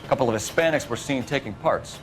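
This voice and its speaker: An actor playing a brisk, authoritative 1940s American police detective in a crime game. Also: male voice, mid-range voice, over music, lightly acted. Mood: pressing, stern. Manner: clipped, official questioning. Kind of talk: dramatic game acting, period crime story.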